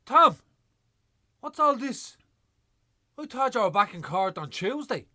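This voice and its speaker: A man's voice: extremely high pitch, very animated, unhurried, pushed and bright, heavily acted. An actor playing an indignant, loyal Irish male neighbour confronting people in a radio play. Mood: alarmed.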